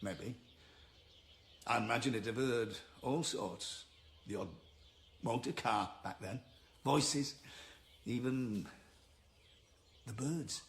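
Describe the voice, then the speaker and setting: An actor playing a gruff, reclusive birdwatcher in a naturalistic radio drama, halting and curt but with a wistful streak. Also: male voice, mid-range voice. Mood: wistful, absorbed.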